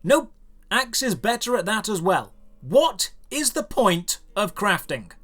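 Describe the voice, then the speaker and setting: A man with a notably high-pitched voice delivers a scripted video game review as voice-over. His delivery is dry and sarcastic, leaning into theatrical emphasis to make a point. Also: sing-song, measured pace, a bit bright.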